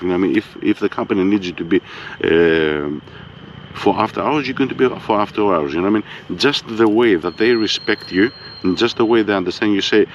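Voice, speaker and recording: A man with a Greek accent talking in a laid-back, fairly monotone way, swearing freely and often tagging on a filler question to check he is understood. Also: fairly low pitch, dull audio, background noise. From Greece. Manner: laid-back, swears freely, keeps saying "you know what I mean". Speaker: vlogger. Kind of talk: casual monologue.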